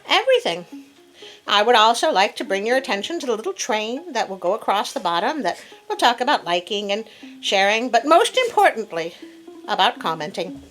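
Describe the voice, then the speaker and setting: A woman hosting a story channel, expressive and chatty as she reads tales and talks to her viewers. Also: on the high side. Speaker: host and storyteller. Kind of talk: storybook reading with casual commentary to viewers. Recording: music playing behind the voice. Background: instrumental classical music.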